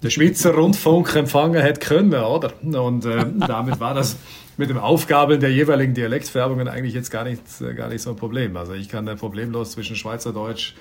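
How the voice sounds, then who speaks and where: A middle-aged man from Austria, a ship captain, chatting in an interview while searching for words with many pauses and restarts. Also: medium pitch, a little hiss or hum. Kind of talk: casual interview answers.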